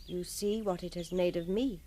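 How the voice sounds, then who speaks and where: An actress playing a devoted, perceptive gentlewoman, frank and steadfast, with measured literary phrasing in a ghost-story drama. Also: lowish voice, steady tone, a little hiss or hum, natural voice. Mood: gently reassuring.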